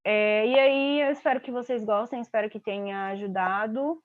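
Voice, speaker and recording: A woman's voice: a little high, moderate pace, no echo. A young woman with a Brazilian accent giving a live online lesson, explaining simply and chatting with many fillers, heard over a video call mic. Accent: Brazilian Portuguese. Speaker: online tutor. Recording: dull lo-fi live stream audio.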